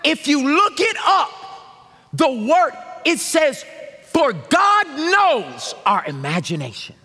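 Fiery animated preacher man. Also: very high-pitched, slow pace. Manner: animated, shouting call-and-response preaching. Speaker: pastor. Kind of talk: sermon. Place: large church stage with drum kits. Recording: long reverb of a large hall.

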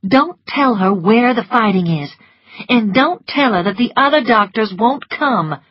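A woman's voice: on the high side, expressive, a little slow, breathy edge, no echo, dull audio, pitched above the performer's own voice. A woman reading a story aloud, voicing a headstrong young lady who speaks urgently and gives orders. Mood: commanding. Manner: commanding, pleading, urgent.

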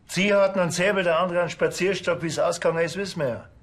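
An actor playing a gruff, sarcastic policeman, in a TV crime comedy. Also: high-pitched, very fast. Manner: dry, sarcastic. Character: gruff, sarcastic male small-town policeman. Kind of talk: TV comedy crime series acting.

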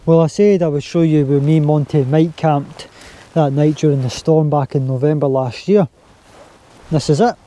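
A Scottish man talking casually to his camera while camping on a hilltop, relaxed and chatty. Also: on the high side, normal speed. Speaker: outdoor vlogger. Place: outdoors on a windy hilltop campsite. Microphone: handheld camera or mini tripod.

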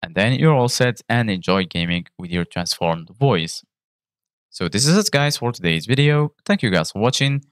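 Male tutorial presenter, lowish and very animated in pitch, clean smooth tone, instructional read. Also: normal speed, no echo, clean studio sound. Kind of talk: scripted tutorial narration, reading a guide aloud.

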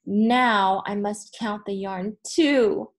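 A woman voicing an excitable, determined young girl in a storybook, with an emphatic, exclaiming delivery. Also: medium pitch, drawn-out words. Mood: overwhelmed.

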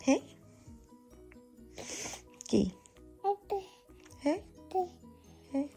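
High, singsong woman cooing to baby. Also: animated, very slow, vocal fry, mellow, soft background music. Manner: cooing, repeating short questions. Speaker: mother talking to her baby. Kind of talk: playful baby talk.

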